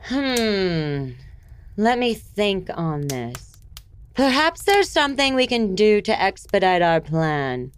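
A woman's voice: medium pitch, very animated, slow, clean tone. A woman playing a haughty, short-tempered, wicked empress with commanding theatrical delivery in a fantasy audio drama. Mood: scheming. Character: imperious, irritable, wicked fairytale empress tyrant.

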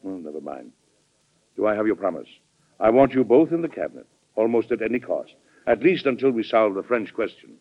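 A firm, fatherly American president in a vintage radio drama. His low voice rises and falls widely as he argues with earnest authority. The recording is dull and dated. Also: big pitch swings, a little fast. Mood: firm, persuasive. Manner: earnest, authoritative, hesitant at times. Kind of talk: old-time American radio drama acting.

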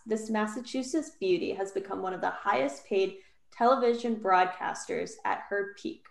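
A woman's voice: mid-range voice, gravelly, echoey room. A woman co-hosting a podcast, reading out trivia clues and reacting with giggles over a video call. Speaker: podcast co-host running a quiz.

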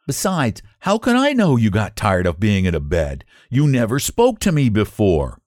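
A man's voice: on the low side, huge pitch swings, moderate pace, darkish tone, dry recording, studio quality. A man voicing a determined, polite country boy in a children's story, with an earnest, protesting manner. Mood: indignant.

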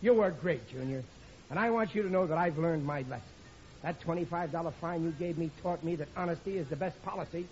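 A man's voice: on the high side, brisk, darkish tone, lightly acted. An American man acting a blustery, put-upon working-class father in a 1940s radio sitcom, exclaiming and grumbling in colloquial speech. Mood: confiding. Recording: noisy, dull old radio recording, audience behind.

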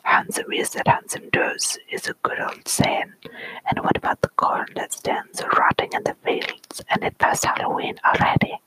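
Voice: normal speed, pushed and bright, close to an everyday voice, low-quality recording. A whisperer doing a stern old village granny. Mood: scolding. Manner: scolding, folksy sayings, whispered.

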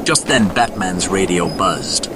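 A man's voice: low voice, lively intonation, slow pace, over loud music. A man narrating a scripted superhero story in a suspenseful, dramatic storybook style.

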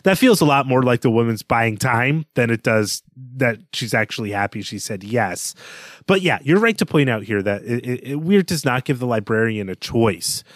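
A man talks through literature as a podcast co-host, in a mid-pitched voice with a very dry, close sound. He is analytical and conversational, often letting a chuckle into his sentences. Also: expressive, moderate pace, no echo. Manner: analytical, chuckles while talking.

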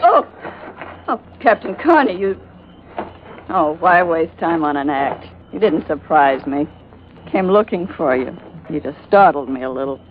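A woman's voice: sits low, lively intonation, unhurried, pauses often, narrow, tinny audio, noisy background. An actress playing a desperate, scheming seductive woman in a melodramatic, pleading 1940s radio drama style. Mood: startled, cool. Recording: band-limited vintage radio recording with music.

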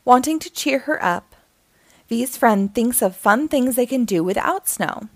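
A woman narrating a children's picture book aloud in an expressive, deliberate way. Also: fairly high pitch, no echo, clean studio sound. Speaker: storyteller reading picture books aloud.

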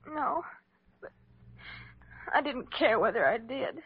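A woman's voice: on the high side, animated, slow pace, breathy edge, no room sound, heavily acted. An American actress playing a despairing, troubled seventeen-year-old girl, plaintive and defensive, in classic radio melodrama style. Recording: muffled, hissy old radio recording.

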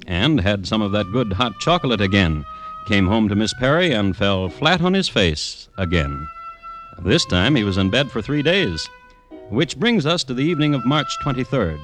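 A man narrating a scripted old-time radio drama in a wry, dramatic storytelling manner. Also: lowish voice.

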